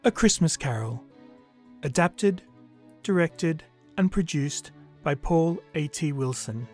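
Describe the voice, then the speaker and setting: A man reading scripted closing credits as narrator, in a formal, measured announcer style. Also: fairly high pitch, expressive, darkish tone. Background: music.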